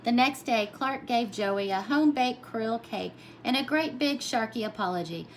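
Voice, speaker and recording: High-voiced woman reading a children's storybook. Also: moderate pace, a little hiss or hum. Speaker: pre-K teacher reading aloud.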